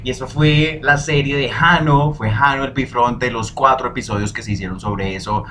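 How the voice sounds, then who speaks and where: A young man hosting a solo podcast, talking in a lively, emphatic way and dropping in foreign phrases. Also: medium pitch, normal speed, a little hiss or hum. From Colombia. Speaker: podcast host.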